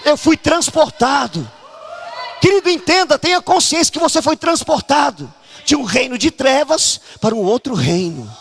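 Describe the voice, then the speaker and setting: A man from Brazil preaching a fervent charismatic sermon at a church conference, often shouting and urging the congregation to act. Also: very high-pitched, expressive, moderate pace, vocal fry.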